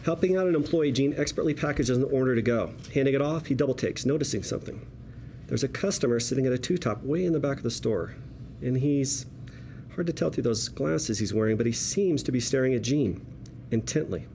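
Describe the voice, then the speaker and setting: A man's voice: mid-range voice, expressive, fairly quick, gravelly, mellow. A man reading screenplay directions aloud as narration at a table read. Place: room with a group at a table read. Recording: noisy room ambience.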